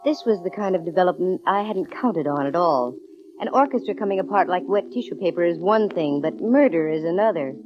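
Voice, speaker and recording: A woman's voice: fairly low pitch, expressive, brisk, close to an everyday voice. An actress playing a wisecracking, confident female private eye, narrating and talking in 1940s radio drama style. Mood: wry, matter-of-fact. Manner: breezy, slangy wisecracks. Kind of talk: 1940s radio detective drama acting, first-person narration. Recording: dull vintage radio recording with music.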